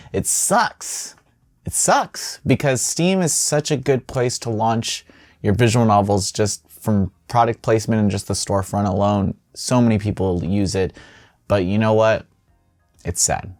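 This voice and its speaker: A man's voice: mid-range voice, a little mellow, dry recording. Casual male gaming news host, dry sound. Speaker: host of a video game news show.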